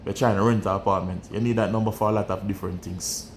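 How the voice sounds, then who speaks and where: A man's voice: fairly low pitch, fairly even intonation, quick pace, a little hiss or hum. A young Jamaican man with a Jamaican accent, talking candidly and bluntly in a casual vlog and often repeating his phrases. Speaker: vlogger. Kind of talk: casual personal storytelling.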